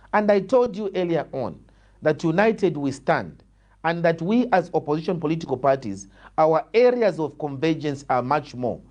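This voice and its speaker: A man's voice: on the high side, darkish tone. A man with a Zambian accent giving argumentative political answers, emphatic and repeating phrases for stress. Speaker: opposition party politician, guest.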